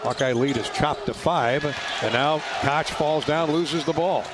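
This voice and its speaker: A man's voice: on the high side, a little slow, noisy background. American man calling live radio basketball. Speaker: radio play-by-play announcer. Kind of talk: live basketball play-by-play commentary. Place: basketball arena.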